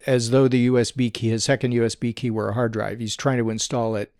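Man, podcast co-host, measured explainer, middle pitch, dry close sound. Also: level tone, a little mellow, dry recording. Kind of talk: casual tech podcast chat, reading listener email.

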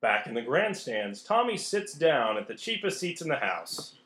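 Male story narrator, lively intonation, scripted read, echoey room sound. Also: mid-range voice, normal speed. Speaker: narrator of radio play.

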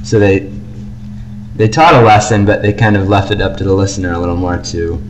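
A man co-hosting a podcast, giving casual, measured reviews in a conversational, hedging way. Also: low voice, fairly even intonation, a little hiss or hum, rough recording. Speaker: podcast co-host.

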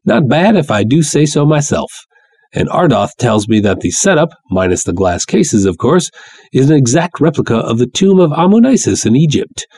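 A man's voice: mid-range voice, sing-song, moderate pace, darkish tone, breathy edge, dry recording, voice pushed up. A man voicing a brash, jovial, sly showman promoter with folksy salesman patter in a pulp story reading. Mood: self-satisfied. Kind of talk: dramatic reading of pulp fiction.

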